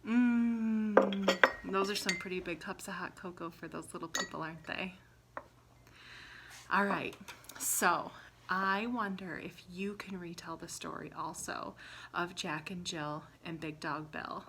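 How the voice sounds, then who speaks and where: Woman, children's read-aloud teacher. Bright, forward voice, slow simple delivery, animated storytelling with questions to the listener, faint background noise. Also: on the low side. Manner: animated, acting out exclamations and sounds. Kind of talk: children's story retelling with props.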